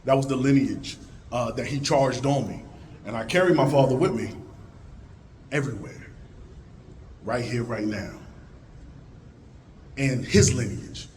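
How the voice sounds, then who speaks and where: Deep-voiced man giving a rousing talk. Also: measured pace, pauses often, slight background noise. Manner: animated, rousing, swings from loud calls to hushed low tones. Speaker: conference speaker. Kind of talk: motivational talk to a live audience. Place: conference hall.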